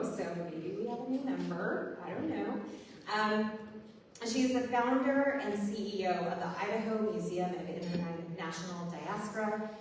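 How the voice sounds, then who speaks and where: A woman moderating a conference panel, friendly and self-deprecating as she introduces the speakers. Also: mid-range voice. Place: conference hall stage. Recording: roomy hall, distant mic, faint noise.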